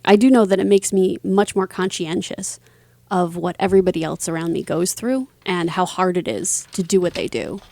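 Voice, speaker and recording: A woman's voice: mid-range voice, darkish tone, no echo. Interview guest, woman, mid-range voice. Informal, modest, chatty storyteller, very little room sound. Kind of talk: casual conversational storytelling.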